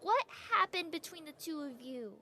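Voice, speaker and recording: A woman's voice: high-pitched, animated, moderate pace, pushed and bright, full character voice. An actress playing a nosy, familiar young woman who works in a castle, gossipy and probing, in an audio drama. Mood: curious, probing.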